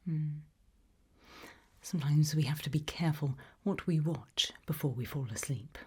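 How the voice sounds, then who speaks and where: A British woman whispering gently in an ASMR roleplay as a calm, attentive sleep clinic practitioner, reassuring and soothing. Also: sits low, moderate pace. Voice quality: breathy, whispered.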